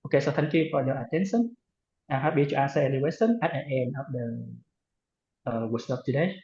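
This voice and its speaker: A man's voice: medium pitch, normal speed, vocal fry, dark tone, echoey room, dull audio. A man finishing a webinar talk and thanking the audience. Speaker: webinar speaker. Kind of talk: closing a presentation.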